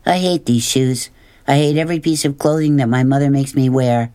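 A man voicing a bold, stubborn young girl who argues insistently and speaks in a raised, brisk voice. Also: medium pitch, warm tone, clean tone, natural voice. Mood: chatty, bossy.

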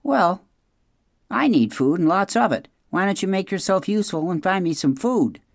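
A reader voicing a sly, demanding, hungry fox from a storybook in a gruff, mocking manner. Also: medium pitch, lively intonation, normal speed, no echo, studio quality, voice pushed up, close to an everyday voice. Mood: demanding, scornful.

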